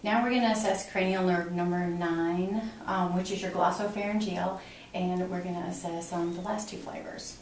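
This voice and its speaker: A middle-aged woman clinician explaining a physical exam step by step, in an instructional way with hesitant pauses. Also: fairly low pitch, fairly even intonation. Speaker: clinician examining a patient. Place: small hard-walled exam room indoors. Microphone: camera mic a few feet away. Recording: echoey room, distant mic, clear background noise.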